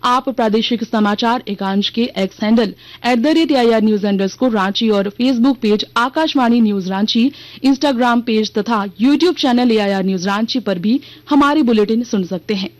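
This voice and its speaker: A woman reads a scripted regional radio news bulletin in a formal, measured manner. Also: on the high side, no room sound. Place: radio studio.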